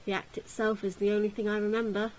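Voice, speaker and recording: A woman voicing a stern yet gentle male tutor in a visual novel, speaking in a soft, measured, reflective way. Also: mid-range voice, level tone, a little hiss or hum, natural voice, low-quality recording. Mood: quiet, wistful.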